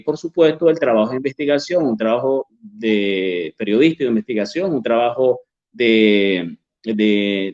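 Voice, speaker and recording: A man, a journalist and author, talking slowly in a recorded video conference, with many fillers and restarts. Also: mid-range voice, muffled recording. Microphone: video call.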